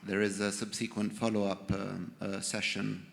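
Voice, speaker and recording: A man's voice: lowish voice, completely flat delivery, normal speed, gravelly, long reverb tail. A man answering a panel question, hesitant with many ums.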